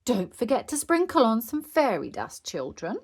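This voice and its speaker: A woman's voice: fairly high pitch, huge pitch swings, normal speed, breathy edge, voice pushed up, exaggerated voice. A woman voicing an enthusiastic female animal playgroup teacher in a storybook, theatrical and encouraging.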